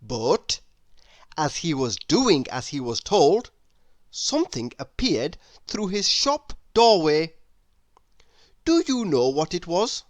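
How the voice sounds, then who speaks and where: A man reading a children's story aloud with dramatic, deliberate, expressive narration. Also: on the high side, no echo. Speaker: storybook reader.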